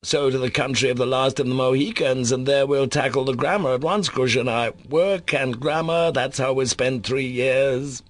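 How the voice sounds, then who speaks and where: A man's voice: medium pitch, no echo, voice pushed up, close to an everyday voice. A man reading aloud as an anguished, passionate young Russian man who speaks in overwrought outbursts.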